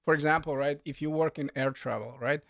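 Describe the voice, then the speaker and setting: A man hosting a casual livestream in a halting, rambling way with frequent restarts, sounding matter-of-fact and unsmiling. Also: fairly high pitch, fairly even intonation, creaky, fairly bright tone, dry recording, clean studio sound. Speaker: livestream host, coding instructor.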